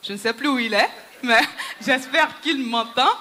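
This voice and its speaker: Bright, persuasive woman on stage. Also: fairly high pitch, measured pace, a little hiss or hum. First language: Yoruba. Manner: persuasive, rallying, addresses the audience directly. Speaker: entrepreneur and speaker. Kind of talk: stage talk to a conference audience. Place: large conference hall on a stage. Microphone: handheld microphone.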